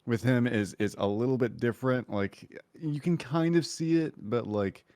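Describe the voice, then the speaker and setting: A man's voice: mid-range voice, normal speed, darkish tone, no echo, clean studio sound. A man on a podcast panel discussing casually, hedging as he works out his opinion.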